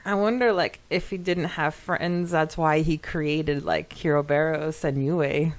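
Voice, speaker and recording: A woman co-hosting a podcast, reading listener comments aloud and chatting casually in an animated, giggly manner. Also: sits low, unhurried. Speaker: podcast co-host.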